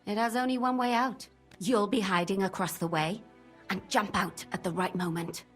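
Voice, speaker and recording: A woman's voice: mid-range voice, fairly even intonation, normal speed, faint music behind, close to an everyday voice. A woman acting a brash, scorned woman in a video game drama, theatrical and defiant. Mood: scheming. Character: brash scorned woman staging a loud scandal.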